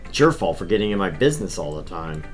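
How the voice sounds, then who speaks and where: Guy doing a teen boy protagonist, self deprecating and teasing but caring, swingy pitch, casual visual novel read. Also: sing-song, fast, faint music behind. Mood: awkward, earnest. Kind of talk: visual novel dialogue and first-person narration.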